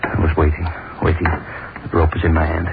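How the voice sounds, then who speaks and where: A brooding hangman tells his own tale and cracks into frenzy, in a 1930s radio horror play. His voice is a plain middle pitch. The recording is tinny and buried in heavy hiss. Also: monotone, fairly quick, creaky. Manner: brooding first-person narration, turning hysterical. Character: gloating hangman sliding into madness. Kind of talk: 1930s radio drama acting.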